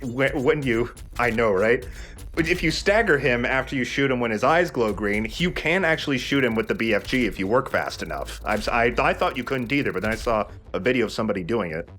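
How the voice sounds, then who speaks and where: A man's voice: mid-range voice, animated, a little fast, fairly bright tone, faint music behind. A man commentating a video game casually, explaining things with mock-announcer flourishes. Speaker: let's play host and gamer.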